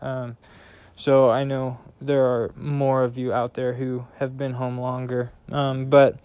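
Youth pastor, male, slow and thoughtful, smooth clear voice, hesitant. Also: medium pitch, level tone, darkish tone, no echo. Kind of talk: casual conversation. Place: outdoors in a backyard by a bonfire.

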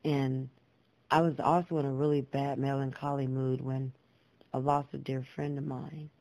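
Mellow-voiced woman telling her story earnestly. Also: rumbling low, little pitch movement, no room sound. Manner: earnest, deliberate, emphatic with repeated phrases; clear, proper diction. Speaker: guest storyteller, poet and singer. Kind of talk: reflective personal storytelling.

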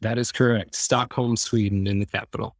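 A man's voice: on the low side, steady tone, fairly quick, dry recording. Easygoing Midwestern American man, dry close sound. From Iowa, American Midwest. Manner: easygoing, joking. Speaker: podcast guest. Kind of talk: casual chat, personal storytelling.

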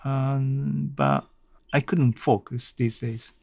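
A man's voice: medium pitch, sing-song, slow pace, loud music behind the voice. A man hosting a casual solo podcast in an audio-blog style, speaking hesitantly and searching for his words. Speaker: podcast host.

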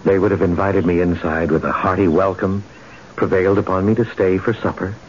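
Uncanny, eloquent male caller played by an actor for radio mystery; deep, formal, muffled sound. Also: level tone, a little fast, natural voice. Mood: smooth, insistent. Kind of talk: old-time radio mystery drama acting. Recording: muffled old radio recording, faint noise.

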